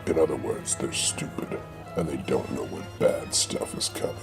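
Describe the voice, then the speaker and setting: Super deep croaky guy narrating a spoof radio play totally deadpan, music going behind him. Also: normal speed. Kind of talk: scripted comic narration of an audio drama.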